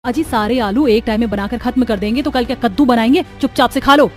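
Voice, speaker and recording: A woman voicing a sharp-tongued, thrifty young village wife in a cartoon story, indignant and scolding. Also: a little high, fast, natural voice. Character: feisty, thrifty poor village daughters-in-law, sharp-tongued women. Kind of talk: Hindi cartoon story acting. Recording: music behind the voice.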